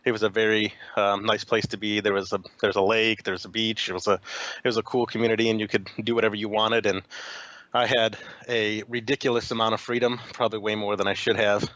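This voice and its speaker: A man's voice: fairly low pitch, level tone, fast, fairly bright tone, no echo, lo-fi sound. A young man from Chicago, a podcast guest chatting casually with lots of fillers and restarts. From Chicago, USA. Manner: rambling, frequent fillers and restarts. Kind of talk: casual interview chat.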